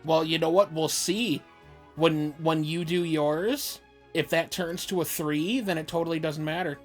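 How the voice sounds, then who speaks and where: A woman's voice: deep voice, unhurried, faint music behind. A woman chatting casually as she plays a video game and comments on it. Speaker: game player. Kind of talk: casual gameplay chat.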